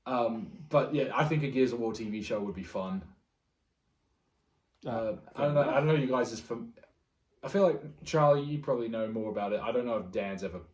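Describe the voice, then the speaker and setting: A man's voice: medium pitch, brisk, darkish tone. A man hosting a casual group discussion in a hesitant, conversational way. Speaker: podcast host. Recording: roomy echo, distant from mic.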